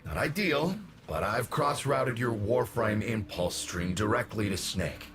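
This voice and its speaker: A man's voice: on the low side, expressive, unhurried. A man playing an eager, tinkering father, the family's overlooked engineer, in a video game cutscene. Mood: helpful. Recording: loud music behind the voice.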